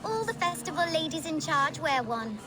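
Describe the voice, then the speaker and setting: A woman's voice: sits high, very animated, moderate pace, over music. A woman playing a chatty, matter-of-fact young village girl with a morbidly whimsical streak in a video game. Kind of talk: video game character dialogue.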